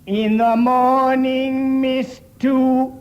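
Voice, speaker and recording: A man's voice: very high-pitched, little pitch movement, extremely slow, dull audio. A man singing snatches and bantering as a contestant on a comedy panel show. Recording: noisy radio studio recording.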